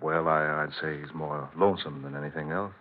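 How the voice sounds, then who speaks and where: A man's voice: a bass voice, steady tone, a little fast. An actor playing a hearty, bluff family man visiting an old friend, chummy and sputtering, in 1940s radio drama style. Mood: subdued, uneasy. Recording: tinny, noisy old radio recording.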